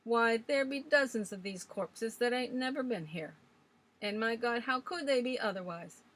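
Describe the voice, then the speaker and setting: A woman reading aloud voices a cantankerous old rustic man who speaks loudly with scornful bluster and broken country grammar. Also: a little high, normal speed, pitched above the performer's own voice, natural voice. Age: older.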